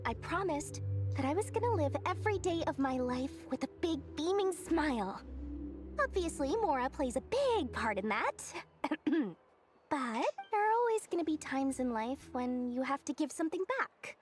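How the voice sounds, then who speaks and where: A woman's voice: high voice, big pitch swings, moderate pace, faint music behind. A woman voicing a small, cheery, scheming girl merchant in a video game, with a theatrical, sly salesmanship in the delivery. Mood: earnest, confiding.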